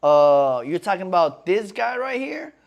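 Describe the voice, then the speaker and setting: A man's voice: high voice, very animated, a little slow, vocal fry, lo-fi sound. A man in his twenties chatting casually on a live stream in slangy talk, often calling viewers "bro". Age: 20s.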